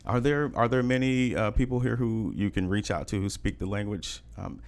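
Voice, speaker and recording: A man's voice: on the low side, steady tone, normal speed. A man hosting a casual interview, informal and affirming, feeling his way into his questions. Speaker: podcast host.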